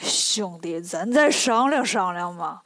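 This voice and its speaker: A woman voicing a greedy, lovestruck, bumbling pig-man in a storybook tale, with a drawn-out, wheedling, oafish delivery. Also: fairly low pitch, expressive, fairly bright tone, no echo, voice pushed down, exaggerated voice.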